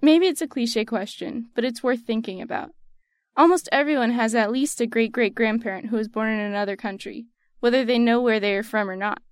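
Reflective young American woman, slightly high. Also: brisk, no echo, clean studio sound. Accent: General American. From United States. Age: young adult. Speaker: student essayist. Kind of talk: personal essay narration.

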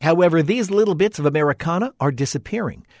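Brisk male radio announcer. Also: fairly high pitch, expressive, no echo, clean studio sound. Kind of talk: scripted radio introduction.

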